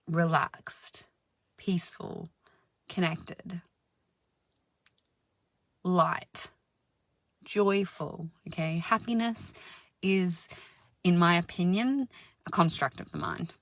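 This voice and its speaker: A woman with a deep, husky voice giving a slow, soothing spiritual self-help talk, sometimes dropping into soft whispers. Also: no room sound. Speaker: spiritual healer and channel host.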